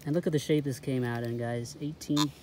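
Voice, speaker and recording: A man's voice: medium pitch, steady tone, brisk. A man giving informal handheld vlog commentary on his metal detecting, talking to his viewers as guys, recorded on a phone outdoors. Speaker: metal detecting video host. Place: mostly outdoors in grass and along a river. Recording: clear background noise, outdoor ambience.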